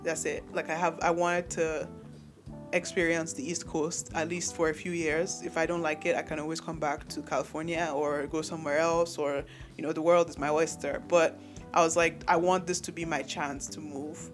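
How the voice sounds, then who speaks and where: A young man from Nigeria talks through his thoughts on his own podcast, his voice sitting a little high. He rambles candidly, stops and restarts sentences, and breaks into laughs. Soft guitar music plays faintly underneath. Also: little pitch movement, moderate pace. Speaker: podcast host. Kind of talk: solo podcast monologue.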